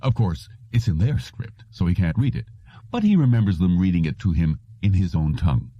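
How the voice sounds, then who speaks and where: A man's voice: sits low, animated, a little fast, mellow. A man voicing a nervous, fretful, heavy-set businessman who muses and mumbles in an audiobook. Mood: anxious explaining. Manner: mumbling, musing. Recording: roomy, dull lo-fi audio.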